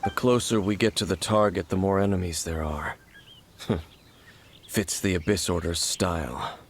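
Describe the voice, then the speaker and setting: A deep, cryptic, aloof swordsman. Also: background noise. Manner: calm, measured, cryptic. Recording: game audio with music.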